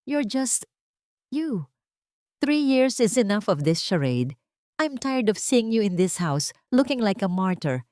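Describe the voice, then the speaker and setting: A woman's voice: on the low side, warm tone, no room sound, clean studio sound. Woman voicing a cold, dismissive husband. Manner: cold, blunt, weary.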